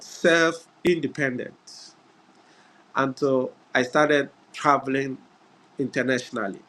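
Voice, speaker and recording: A middle-aged man from Ghana with a Ghanaian English accent, giving an unhurried webinar talk over a video call, often pausing on "uh" and "yeah". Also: on the high side, a bit bright, low-quality recording. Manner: unhurried, repeats words, frequent "uh" and "yeah". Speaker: guest speaker. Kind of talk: webinar presentation.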